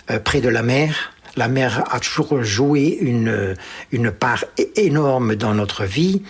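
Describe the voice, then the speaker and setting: A man's voice: medium pitch, husky. Older man with a Pied-Noir accent. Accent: Pied-Noir French. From Algeria. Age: 70s. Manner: hesitant, many fillers and restarts. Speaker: interviewee. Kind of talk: personal reminiscence.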